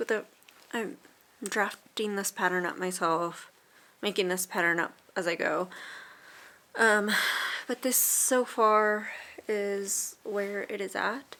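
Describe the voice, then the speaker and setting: Late-twenties woman, casual vlogger, unhurried and a bit gravelly, easygoing rambling delivery. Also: medium pitch. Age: 20s. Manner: relaxed, rambling with frequent fillers and soft laughs. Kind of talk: casual vlog chat.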